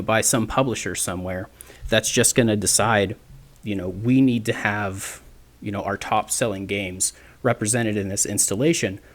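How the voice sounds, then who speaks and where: A man of twenty-nine hosting a solo podcast, talking in a rambling, self-deprecating way with many restarts. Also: on the low side. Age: 20s. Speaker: podcast host. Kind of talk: casual solo podcast monologue.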